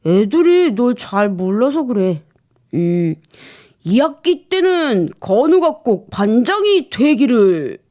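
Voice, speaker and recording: A young schoolboy friend, voiced by a woman, teases and encourages. The delivery is playful storybook acting, fairly high with lively intonation. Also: slow. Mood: teasing encouragement.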